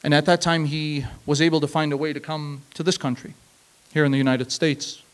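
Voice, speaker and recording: A man in his thirties tells a personal story from a stool on a small open-mic stage, holding the microphone close. His voice sits a little high for a man, and he speaks in a measured, reflective way, returning to phrases for emphasis. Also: flat delivery. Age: 30s. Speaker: spoken word performer. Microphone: handheld mic.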